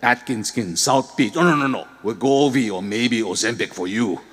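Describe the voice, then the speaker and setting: Guy doing grumpy old grandpa voice, low and gloaty, echoey hall. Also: animated, normal speed, a bit bright. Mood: gloating. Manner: gloating, chuckling threats. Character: gruff old grandfather, angry at a pest. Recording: long hall reverb.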